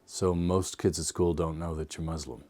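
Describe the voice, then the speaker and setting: A man speaking as interviewer and narrator, reflective and probing, with measured, deliberate delivery. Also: rumbling low, fairly even intonation, mellow, faint music behind.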